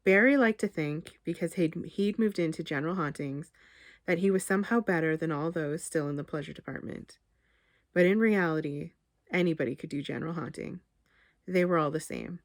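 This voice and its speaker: A woman author reading her short story aloud in a measured, expressive literary narration. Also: low-pitched, no echo, clean studio sound.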